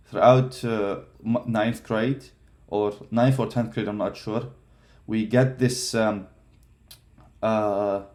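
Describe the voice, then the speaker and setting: Young man in his twenties, lowish voice, halting personal vlog talk full of ums. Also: a little mellow. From Palestine. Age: 20s. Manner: hesitant, many fillers and restarts. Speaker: vlogger. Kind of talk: casual personal storytelling.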